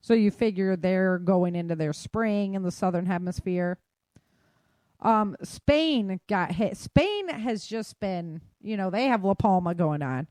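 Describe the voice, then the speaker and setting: A woman's voice: mid-range voice, lively intonation, measured pace, a little mellow, no echo, studio quality. A woman hosting a podcast, giving a casual news roundup in an informal, chatty, colloquial way. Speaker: podcast host. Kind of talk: casual news roundup of world weather and disasters.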